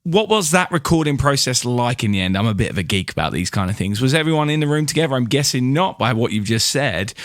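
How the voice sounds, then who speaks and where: A man's voice: medium pitch, big pitch swings, fast talker, few pauses, no room sound, clean studio sound. A man hosting a podcast, asking questions in a casual, conversational way. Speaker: podcast host, interviewer.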